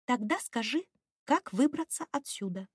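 A spirited little fairy girl from a storybook, played by a woman reader. Her high voice is pert and questioning, quick to take offence. It is lively in pitch and recorded dry. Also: slightly rough, no echo. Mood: puzzled. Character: spirited young fairy girl, curious, easily indignant.

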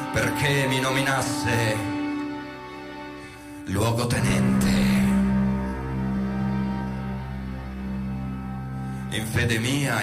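An Italian actor playing a bitter, scheming soldier passed over for promotion, in a theatrical monologue set to music, scornful and swinging between cries and whispers. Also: male voice, medium pitch. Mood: scornful, resentful. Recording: echoey stage sound under loud music. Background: loud musical accompaniment.

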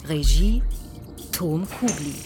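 A woman reading radio drama credits as a scripted announcement in a measured, formal manner. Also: low-pitched, lively intonation. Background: music.

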